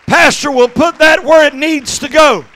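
A middle-aged man preaching an impassioned Pentecostal sermon into a handheld microphone, exhorting and swinging between conversational asides and shouts. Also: very high voice, fairly even intonation, moderate pace, some chatter behind. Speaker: evangelist preaching. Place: church sanctuary stage at a pulpit.

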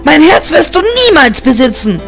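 A man's voice: very high-pitched, expressive, normal speed, a bit bright, faint music behind, voice pushed up, heavily acted. A man voicing a desperate female angel in an audiobook, pleading and defiant, in a panicked manner. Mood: desperate defiance. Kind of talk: audiobook character acting.

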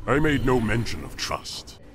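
An actor playing a proud, defiant warrior emperor in a video game, speaking formally. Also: male voice, medium pitch, big pitch swings, measured pace. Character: proud, wary male warrior emperor of another realm. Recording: loud game noise behind.

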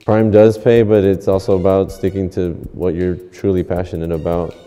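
A man's voice: deep voice, level tone, moderate pace, mellow, clear, resonant tone, dull audio. A man, a graffiti artist talking in an interview in laid-back street slang, full of restarts. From Los Angeles. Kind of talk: interview monologue. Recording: long hall reverb, faint music.

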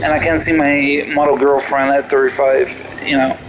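A man's voice: medium pitch, flat delivery, moderate pace, rough recording. A man in his thirties rambling on in a repetitive, drifting monologue while playing a video game, filling his sentences with "you know". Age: 30s. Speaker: gamer streamer. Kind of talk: rambling monologue over gameplay. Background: loud game music and gunfire.

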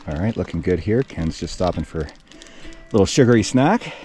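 A man's voice: deep voice, expressive, fairly quick, music in the background. A man casually vlogging outdoors on a mountain trail while crewing a runner, cheerful and encouraging.

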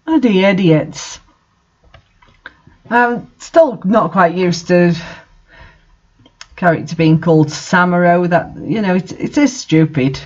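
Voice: mid-range voice, animated, slow pace, pauses often, dull audio. A narrator reading fan fiction for comedy, breaking off for exasperated, mocking asides. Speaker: reader and commentator.

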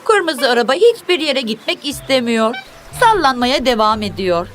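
A woman's voice: fairly high pitch, sing-song, moderate pace. A woman narrating a children's cartoon, speaking expressively in simple, instructive phrases as if to young viewers and characters. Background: music.

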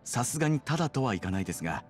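An actor playing a courteous, smooth-talking nightlife guide and wheeler-dealer in a Japanese video game, with polite salesmanlike phrasing. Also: male voice, mid-range voice, sing-song, rapid-fire, faint music behind, natural voice. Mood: relenting, bargaining.

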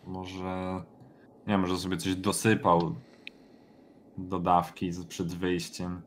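Low-voiced man role-playing over background noise. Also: monotone, slow. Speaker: tabletop game player. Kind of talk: in-character improvised tabletop role-play.

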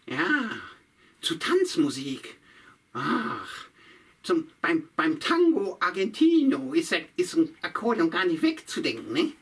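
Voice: mid-range voice, huge pitch swings, slow, slightly rough, full character voice. A puppeteer voicing a sly old witch who chats to herself and hums tunes in a puppet show. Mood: muttering. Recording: voice from a distance.

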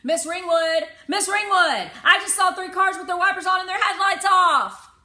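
A woman voicing an anxious young girl who insists on every rule, calling out in a shrill, urgent voice. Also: normal speed, pushed and bright. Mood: insistent. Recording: roomy echo.

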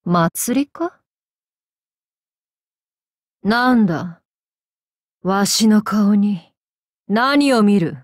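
A woman voicing a tormented, vengeful samurai woman in an anime game, speaking in archaic, solemn, halting phrases. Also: medium pitch, expressive, no echo, studio quality. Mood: haughty, guarded. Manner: archaic, solemn speech with halting pauses, sometimes sinking to whispers. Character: vengeful possessed samurai woman, tormented, torn between two selves. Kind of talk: anime game character voice lines.

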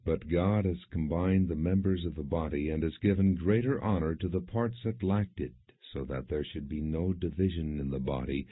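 Deep husky man reading scripture. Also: no room sound. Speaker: audiobook narrator. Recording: dry, narrow phone-like sound.

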